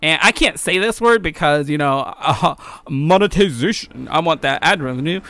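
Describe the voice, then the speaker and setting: Animated, creaky-voiced man, casual gaming commentary. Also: a little high, moderate pace, no echo. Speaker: video creator and game developer. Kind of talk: casual game playthrough commentary.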